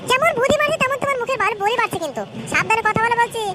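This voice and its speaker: A brash young son in a comedy cartoon, with an extremely high voice and very quick delivery. He is quarrelsome and cheeky, given to whining, and sounds dry and close. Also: sing-song, pushed and bright, no echo, heavily acted. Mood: brash, insolent.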